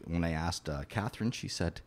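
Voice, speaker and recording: A man's voice: sits low, a little fast. A man hosting a casual interview, chatty and loose, with lots of fillers and restarts.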